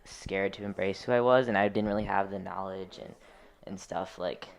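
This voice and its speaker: Young man, low gravelly fry, smooth resonance, hesitant, casual. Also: fairly even intonation, moderate pace. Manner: hesitant, rambling, frequent "like". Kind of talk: casual personal reflection.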